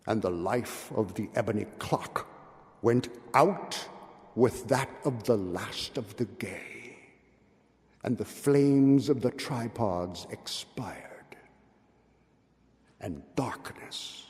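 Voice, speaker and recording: Guy reciting a gothic tale really slow n theatrical, highish voice, big echoey hall. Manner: theatrical, swelling from hushed whispers to forceful declamation. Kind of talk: dramatic recitation of gothic story. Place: large hall at a public meeting. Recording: live hall with long reverb.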